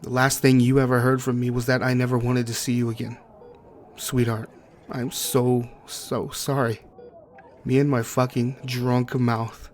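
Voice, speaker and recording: A man acting as a grieving, guilt-ridden partner in an intimate audio roleplay, speaking tenderly and haltingly to a sweetheart. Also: medium pitch, flat delivery, a little mellow, lightly acted. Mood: guilty, distraught. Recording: clearly noisy track with ambience.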